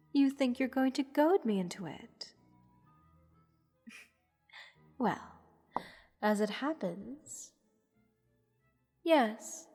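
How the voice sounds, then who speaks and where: A woman playing a haughty, playful goddess who teases a captive mortal in an unhurried, condescending manner, in a roleplay audio drama. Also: on the high side, darkish tone, breathy edge, exaggerated voice. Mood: smug, mocking. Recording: long hall reverb. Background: music.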